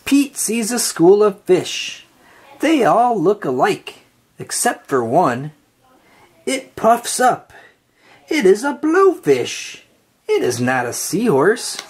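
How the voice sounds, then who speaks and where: A woman reads a picture book aloud to young children, taking her time over each line. Her voice climbs and dips through a lively, sing-song melody, with a soft, breathy edge. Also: medium pitch. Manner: slow, expressive, sing-song storybook delivery. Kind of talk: read-aloud storytelling for kids.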